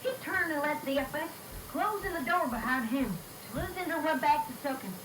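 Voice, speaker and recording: A high-pitched cartoon pony played by a woman narrates a scripted story. The sound is roomy and clearly noisy, like a homemade reel-to-reel tape. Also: animated, moderate pace. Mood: storytelling. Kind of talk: scripted fan-fiction narration.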